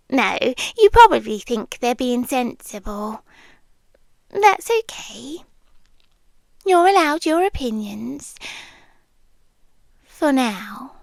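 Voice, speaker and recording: A British yandere girlfriend, acted by a woman for ASMR roleplay, possessive and sweetly threatening. Her high voice lilts and swoops in a very unhurried, teasing delivery. She often sinks into breathy, intimate whispers. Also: no room sound, full character voice. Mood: playful, menacing.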